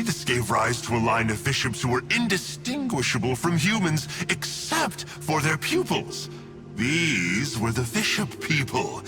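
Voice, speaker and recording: Game villain: flippant schemer, mocking and theatrical, very lively melody in the delivery, music underneath. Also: male voice, fairly high pitch. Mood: sly storytelling. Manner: breezy, mocking, theatrical, toying with listeners. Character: smug, flippant male villain of a dark order, a scheming schemer. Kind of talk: video game voice acting. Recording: music behind the voice.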